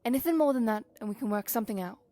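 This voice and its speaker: A woman voicing a young-looking high elf innkeeper in a fantasy audio drama, with a weary, formal, curt manner. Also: expressive, racing, dark tone, close to an everyday voice. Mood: apologetic.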